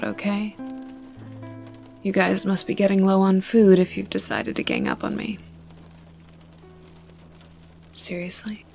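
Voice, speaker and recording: A wary, sharp-tongued young woman survivor, played by a woman speaks close to the listener in an ASMR roleplay. Her mid-pitched voice is breathy and crackles with fry, often sinking toward a whisper. She takes her time, blunt and grudgingly caring, over faint music. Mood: wary, threatening. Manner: wary, sarcastic, blunt, cursing.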